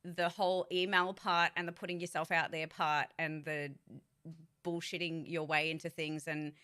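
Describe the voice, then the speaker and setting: Blunt, low-pitched woman voice-actor vlogger. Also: level tone, normal speed, sharp tone, smooth. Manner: candid and blunt, swears freely; rambling with many fillers and restarts. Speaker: voice actor giving career advice. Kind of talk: casual vlog monologue.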